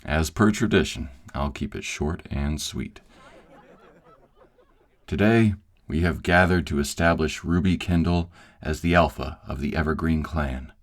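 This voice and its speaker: Cast a man as the director of a werewolf clan, with an extremely deep voice. He addresses his people formally and with measured authority. It is an audio drama ceremony. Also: heavily acted. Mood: formal, commanding.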